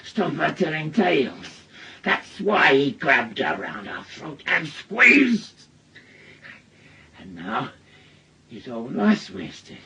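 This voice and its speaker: An actor playing a bitter, menacing wronged husband in a radio drama, with a Northern English accent and a goading, rambling way of telling things. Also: male voice, on the high side, big pitch swings, takes it slowly, gravelly, long pauses, pushed and bright, slightly rough, exaggerated voice. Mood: accusing, anguished. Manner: goading, rambling storyteller with taunting tag questions. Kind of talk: naturalistic radio drama acting.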